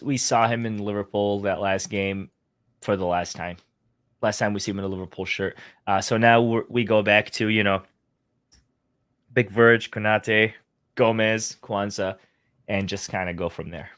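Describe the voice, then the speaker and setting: A man's voice: on the low side, fairly even intonation, normal speed, fairly bright tone. A man co-hosting a sports podcast, giving his opinions in a casual, conversational way. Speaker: podcast co-host.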